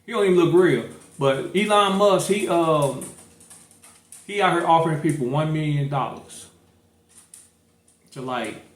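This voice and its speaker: A man hosting a casual podcast, thinking aloud with frequent fillers and false starts. His voice sits a bit high for a man. The room around him is echoey. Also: a little slow, pauses often. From St. Louis, Missouri. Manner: rambling, many fillers and restarts. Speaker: podcast host. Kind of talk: casual political chat.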